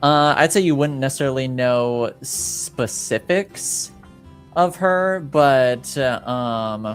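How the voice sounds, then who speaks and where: A man runs a tabletop game at an unhurried, halting pace. His voice sits at a middling pitch and his narration is casual and improvised. Faint music plays underneath. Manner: halting, deliberate. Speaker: game master.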